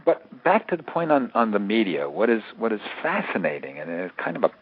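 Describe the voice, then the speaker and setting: An American man giving analytical answers as an expert interview guest, repeating words haltingly as he builds his points. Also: fairly low pitch, sing-song, breathy edge. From United States. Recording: narrowband phone-like radio interview line.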